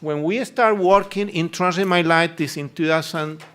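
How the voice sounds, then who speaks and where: A middle-aged man with a strong Colombian Spanish accent, a native Spanish speaker, delivering a conference lecture as a neurologist. His voice sits somewhat high for a man. He speaks earnestly and haltingly, pausing often on filler sounds as he works through his points. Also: fairly bright tone.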